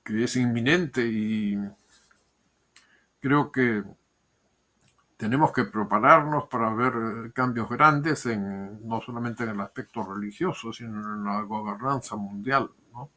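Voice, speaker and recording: An older man, a historian and diplomat, giving measured, thoughtful interview answers with pauses and repeated words. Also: medium pitch, bright, forceful tone.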